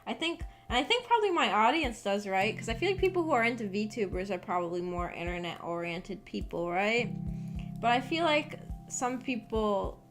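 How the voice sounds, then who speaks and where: A young woman talks off the cuff to her livestream chat over clearly audible background music. Her pitch sits around the middle for a woman. She rambles informally, hesitating and circling back as she thinks aloud. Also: lively intonation, normal speed. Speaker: VTuber streamer. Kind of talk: casual livestream chat with viewers.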